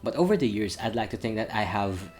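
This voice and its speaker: A man's voice: on the low side, animated, fast, darkish tone, soft background music. A young man who grew up in Saudi Arabia, with an international school accent, giving his viewers an earnest, slightly wordy channel update. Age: young adult. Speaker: channel host. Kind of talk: vlog update talking to camera.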